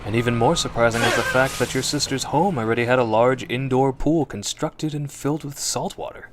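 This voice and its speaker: A man narrating a scripted story in the second person, in an expressive, suspenseful old-time radio drama style. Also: mid-range voice, fairly quick. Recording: narration over prominent background music.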